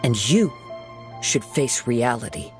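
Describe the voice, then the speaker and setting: A man playing a calm, resolute young wandering samurai in a video game, speaking gently and formally. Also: mid-range voice, slow pace. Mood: resolute, challenging. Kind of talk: video game character acting. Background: clear background music.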